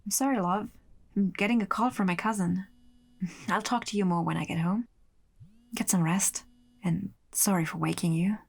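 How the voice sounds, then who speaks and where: A woman's voice: mid-range voice, steady tone, moderate pace, vocal fry, pauses often, breathy edge. An actress playing a volatile, obsessive young woman in a radio drama, with a dramatic, defensive, sardonic way of talking. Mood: tender.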